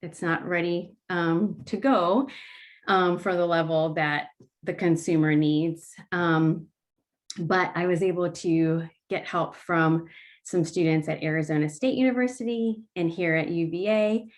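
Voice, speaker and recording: A woman founder giving a casual pitch talk, speaking slowly with many filler ums. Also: steady tone. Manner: slow-talking, many ums. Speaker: startup founder presenting.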